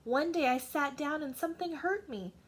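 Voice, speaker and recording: Woman acting a high, sentimental old lady. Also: moderate pace, natural voice, low-quality recording. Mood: plaintive. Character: sentimental old lady devoted to her thorn bush.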